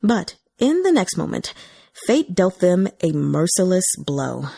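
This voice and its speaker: A woman's voice: on the low side, big pitch swings, unhurried, creaky, warm tone, slightly rough, dry recording. A woman reading scripted fiction as an audiobook narrator.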